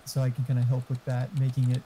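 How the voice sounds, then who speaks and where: A man's voice: mid-range voice, completely flat delivery, fast, mellow, slight background noise, rough recording. A man chatting casually over gaming voice chat, rambling and swearing freely.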